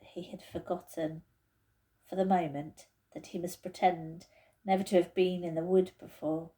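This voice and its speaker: A woman reading a children's storybook aloud in a deliberate, expressive way, like a teacher to pupils. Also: lowish voice.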